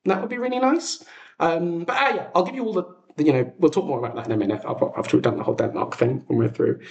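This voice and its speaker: A man's voice: on the high side, quick pace, creaky, muffled recording. A man chatting casually on a livestream as its host, rambling and self-deprecating, in an echoey untreated room. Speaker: livestream host and audio drama creator. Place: echoey room at home, makeshift streaming setup.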